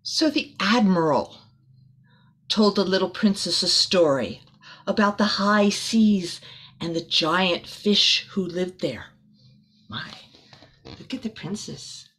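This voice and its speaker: A woman's voice: lowish voice, expressive, slow, pauses often, fairly bright tone, husky. A middle-aged woman reads a children's picture book aloud expressively, with casual asides to young listeners, in a small room.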